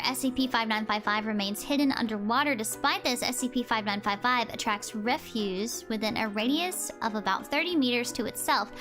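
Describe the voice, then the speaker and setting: Young female streamer, high pitched, slightly hoarse, reads aloud with stumbles, light music underneath. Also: pushed and bright. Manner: chatty, giggly, stumbles over words while reading. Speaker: VTuber streamer. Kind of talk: live stream, reading aloud.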